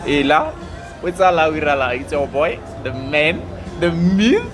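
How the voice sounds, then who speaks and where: A man's voice: fairly high pitch, sing-song, unhurried, over music. A young South African man with a South African accent, co-hosting a podcast in casual, teasing banter that slips between languages. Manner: playful, teasing, laughs often, mixes languages. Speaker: podcast co-host.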